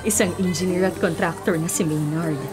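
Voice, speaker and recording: A woman acting a weary older Filipino mother in a radio drama. Her voice sits fairly low, plaintive and long-suffering, with clear music playing behind her. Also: steady tone, a little fast, lightly acted. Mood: gently resolute. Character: self-sacrificing, ailing older mother, a weary schoolteacher.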